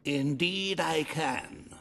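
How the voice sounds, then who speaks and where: A man's voice: fairly high pitch, very slow, gravelly, fairly bright tone, full character voice. A man playing an obsequious, greedy royal treasurer in a video game, theatrical and savouring each word. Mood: fawning, gleeful. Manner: theatrical, unctuous, savouring words. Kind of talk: video game cutscene dialogue. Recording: reverberant hall.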